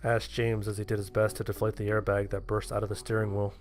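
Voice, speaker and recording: Lowish-voiced male horror story narrator. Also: monotone, fast talker. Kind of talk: scripted first-person horror story narration.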